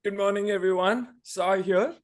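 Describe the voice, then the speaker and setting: A man's voice: sits high, steady tone, normal speed. A man from India introducing his tech startup in a brief, matter-of-fact way. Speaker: tech founder. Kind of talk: self-introduction. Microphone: video call.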